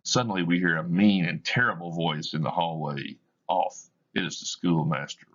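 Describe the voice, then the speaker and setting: A man reading a play's stage directions aloud for a class in a measured, plain delivery. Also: low voice, fairly bright tone, highs cut off. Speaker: teacher reading a play aloud.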